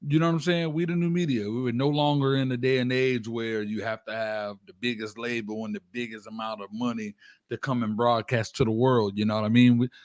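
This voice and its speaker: A man's voice: medium pitch, steady tone, brisk, dry recording, studio quality. A man hosting a hip-hop radio show, chatting casually with slangy, affirming hype.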